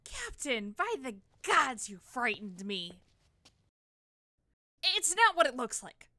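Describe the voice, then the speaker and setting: Woman acting a high-voiced, taunting female villain. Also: sing-song, bright, forceful tone, no room sound, heavily acted. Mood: feigned innocence. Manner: mocking, dramatic, drawn-out delivery with sighs. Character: taunting, theatrical female traitor villain, cunning and bitter. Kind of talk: audio drama acting.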